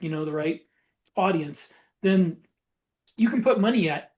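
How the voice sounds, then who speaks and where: High-pitched man chatting about his trade. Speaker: author and podcast co-host. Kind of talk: casual shop talk about book publishing.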